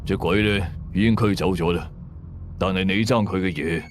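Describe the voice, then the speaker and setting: 男人演繹冷峻低沉嘅驅鬼老豆. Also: male voice, sits low, normal speed, slight background noise, pitched below the performer's own voice, natural voice. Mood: cold, grave. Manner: terse, commanding, grave. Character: stern, cold older father, a ghost exorcist. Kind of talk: Cantonese radio horror drama.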